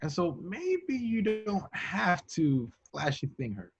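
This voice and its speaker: Brief: man as streetwise rookie agent, cocky and protesting, very lively pitch, home table read, lo-fi sound. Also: takes it slowly, slightly rough, full character voice. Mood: pleading, protesting. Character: brash, indignant young male secret agent trainee. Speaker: amateur actor in a table read. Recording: dull lo-fi home recording.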